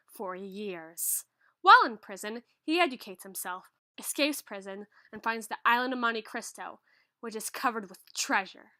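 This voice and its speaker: Woman acting a bright-voiced bookish tsundere schoolgirl. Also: a little high, no echo, clean studio sound. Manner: scolding, huffy, flustered, softening when bookish. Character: tsundere high school girl tutor, bookish, irritable, secretly fond. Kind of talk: ASMR roleplay, acted monologue to the listener.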